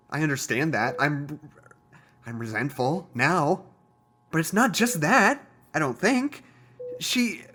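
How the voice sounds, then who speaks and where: An actor playing an earnest, resentful male scientist in an audio drama, confiding in a halting, rambling way. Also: mid-range voice, bright, forceful tone, exaggerated voice. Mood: anxious, resentful. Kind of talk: audio drama monologue, naturalistic.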